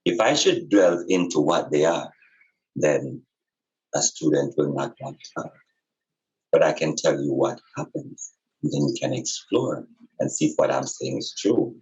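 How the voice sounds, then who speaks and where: A man's voice: extremely low pitch, lively intonation, measured pace, darkish tone, lo-fi sound. A man speaking as a spiritual teacher in conversation, earnest and emphatic, repeating phrases for effect.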